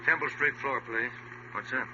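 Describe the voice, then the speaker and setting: An actor playing an easygoing police detective partner who talks plainly and conversationally in a 1950s radio drama. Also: male voice, mid-range voice, steady tone, moderate pace, close to an everyday voice. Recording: dull, very noisy old radio recording.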